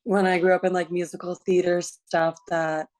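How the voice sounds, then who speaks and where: A woman in her twenties with a low, raspy voice, chatting eagerly as a musician guest on a video call, full of likes and restarts. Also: flat delivery, moderate pace, a little mellow. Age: 20s. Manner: enthusiastic, many likes and restarts. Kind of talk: casual interview chat.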